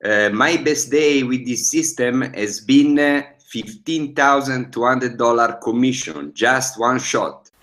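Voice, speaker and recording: A man's voice: mid-range voice, slow pace, few pauses, a bit bright. A man from Switzerland giving a hesitant, unpolished client testimonial.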